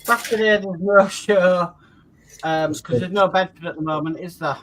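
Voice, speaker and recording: A man's voice: high voice, normal speed, a bit bright, a little hiss or hum, low-quality recording. A man hosting a casual live chat, reading out viewer comments and going through the week's schedule. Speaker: host.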